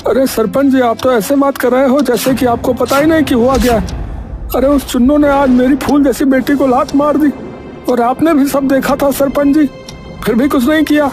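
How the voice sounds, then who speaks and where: A man plays an aggrieved father from a village cartoon tale. His voice is extremely high for a man. He complains and pleads with insistent indignation, with faint music behind him. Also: steady tone, normal speed. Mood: indignant. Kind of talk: Hindi cartoon story voice acting. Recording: music under the voice.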